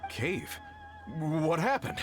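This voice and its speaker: An actor voicing an earnest, slightly flustered mining boss in a video game, grateful and eager to help. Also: male voice, on the high side, wildly swooping pitch, slow, over music, exaggerated voice. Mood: puzzled. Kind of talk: scripted video game voice acting.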